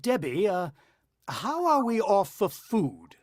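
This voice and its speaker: A man's voice: high-pitched, huge pitch swings, slow, darkish tone, no echo, studio quality, full character voice. An actor playing a worried, watchful country father who also narrates, in the style of a vintage radio drama. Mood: uneasy, urgent.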